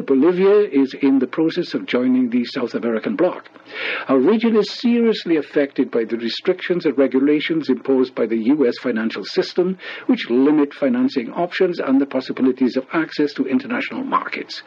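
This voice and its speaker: A man's voice: mid-range voice, a little mellow, breathy edge. A man reading scripted international news as a radio newsreader, over a dull, lo-fi shortwave broadcast.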